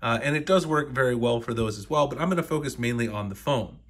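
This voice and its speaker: A man's voice: medium pitch, fast, fairly bright tone, husky. American man giving a casual phone tutorial. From United States. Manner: conversational, explanatory. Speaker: tech reviewer, tutorial host.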